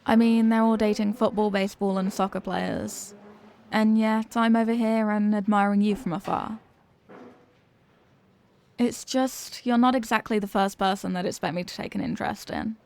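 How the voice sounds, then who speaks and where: A woman's voice: mid-range voice, fairly even intonation, moderate pace, vocal fry, darkish tone, a little hiss or hum, close to an everyday voice. A young woman acting a flustered, coy popular cheerleader girl with a secret crush, in an intimate ASMR romance roleplay. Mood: nervous, confessional. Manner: coy, flustered, dramatic, with hesitant stammers.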